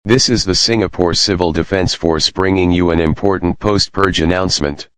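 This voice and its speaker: A man's voice: sits low, moderate pace, a bit bright, clear, resonant tone, no room sound. A man playing a formal, composed civil defence announcer giving a scripted official announcement in a dystopian drama.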